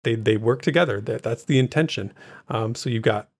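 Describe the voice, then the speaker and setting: A man's voice: medium pitch, fairly quick. Man co-hosting a casual gaming podcast. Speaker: co-host of a gaming news recap show. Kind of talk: casual podcast discussion of game news.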